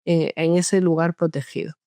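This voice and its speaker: Woman guest, thoughtful, lots of pauses and restarts, clean smooth voice, dry close mic. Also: on the low side, flat delivery, mellow, dry recording. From France. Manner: reflective, with many hesitations and restarts. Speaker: guest psychologist. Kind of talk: conversational interview.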